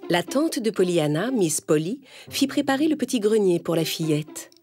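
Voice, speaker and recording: A woman's voice: medium pitch, big pitch swings, normal speed, darkish tone, soft background music. A woman storyteller reading a scripted children's tale as narration.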